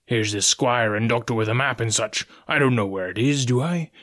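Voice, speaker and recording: A man's voice: medium pitch, moderate pace, fairly bright tone, lightly acted. Guy reading as a bossy crafty pirate cook, scolding sailor talk, storybook villain. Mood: exasperated scheming. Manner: scheming, scolding, rustic seafaring speech. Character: cunning, domineering old pirate ship's cook, male.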